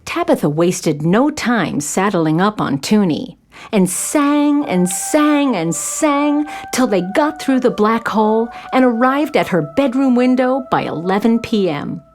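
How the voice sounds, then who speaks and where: A woman narrating a scripted children's story in an expressive storytelling manner. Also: a little high, a little slow.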